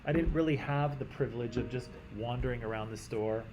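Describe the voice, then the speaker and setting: A Canadian man teaching a class in a conversational way, often checking in with "right?" and clearing his throat. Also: medium pitch, a little fast, noisy background. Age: adult. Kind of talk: classroom lecture.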